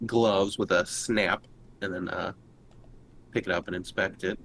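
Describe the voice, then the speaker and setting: A man's voice: low-pitched, steady tone, measured pace, vocal fry, fairly bright tone. A man chatting casually as a player in a tabletop roleplaying game, describing what his character does. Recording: noticeable background noise.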